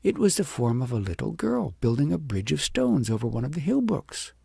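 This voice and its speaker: A man reads a storybook aloud with dramatic, deliberate phrasing. His pitch moves widely, and the voice is husky and creaky at the edges. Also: very animated, dark tone, no echo, clean studio sound. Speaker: audiobook narrator. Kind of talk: expressive storybook narration.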